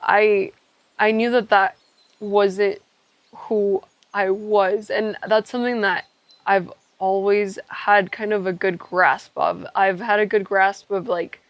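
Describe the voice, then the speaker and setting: A woman's voice: medium pitch, steady tone, takes it slowly, dry recording, muffled recording. Young woman, introspective, in a dry-sounding space. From Ecuador. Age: young adult. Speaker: podcast co-host telling their own story. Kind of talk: candid, reflective podcast conversation.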